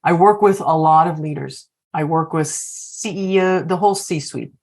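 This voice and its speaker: A woman's voice: deep voice, moderate pace, a little mellow. A woman coaching leaders on a video call, reflectively posing open questions to the group. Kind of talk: workshop facilitation.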